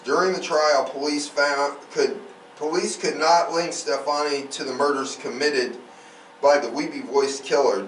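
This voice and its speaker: A man's voice: a little high, a bit bright. A man hosting a true-crime podcast, telling cases informally with emphatic, opinionated commentary. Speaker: podcast host. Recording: roomy, distant mic, faint noise.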